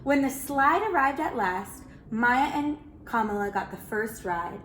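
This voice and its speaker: A woman reading a children's picture book aloud, expressive and animated, calling listeners friends. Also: a little high, measured pace, a little hiss or hum. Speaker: children's storyteller. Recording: roomy echo.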